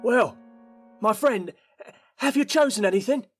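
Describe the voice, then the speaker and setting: A woman's voice: a little high, animated, measured pace, over music. Pushy little shopkeeper man, swooping salesman's patter. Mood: coaxing. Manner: insistent, wheedling sales patter. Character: pushy little male picture-shop proprietor, eager haggler. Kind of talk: radio play acting.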